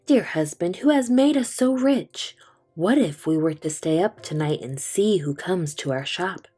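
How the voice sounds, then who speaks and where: A woman voicing a kind, caring shoemaker's wife in a storybook, gentle and earnest. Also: on the low side, animated, measured pace, breathy edge, faint music behind. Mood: curious.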